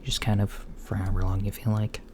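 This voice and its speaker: A man doing a soft-spoken, partly whispered ASMR roleplay as a calm, reassuring doctor, murmuring hesitantly with long pauses. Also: on the low side. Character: calm reassuring male alien doctor at a checkup. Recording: lo-fi with faint background noise.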